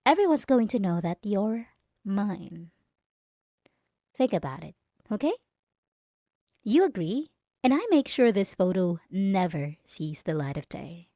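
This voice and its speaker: A jealous, possessive young female bully played by a woman in an intimate ASMR roleplay. Her pitch swings widely as she taunts and threatens, moving between softness and agitation. The recording is very dry and close. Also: no echo.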